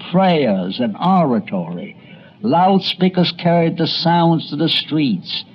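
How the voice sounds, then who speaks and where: A man's voice: mid-range voice, breathy edge. A man narrating a scripted documentary as host, in a folksy, dramatic storytelling manner. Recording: muffled, noisy old tape.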